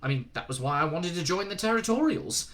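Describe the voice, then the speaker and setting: A man's voice: on the high side, animated, brisk, a bit bright, pitched above the performer's own voice. Man doing a timid, stammering young man. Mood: flustered. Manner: tentative, stammering.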